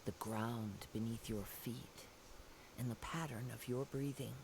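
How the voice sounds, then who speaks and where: An actor playing a calm, poetic young wandering samurai in a video game, speaking formally and courteously in a measured way. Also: male voice, medium pitch, creaky, background noise. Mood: calm, approving. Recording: game music behind the voice.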